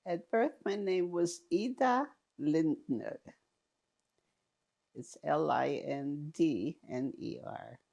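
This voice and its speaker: Halting, gravelly woman in her eighties. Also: low-pitched, dark tone, muffled recording. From Romania. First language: German. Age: 80s. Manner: slow, halting, with many fillers and restarts. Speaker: interviewee. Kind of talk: oral history testimony.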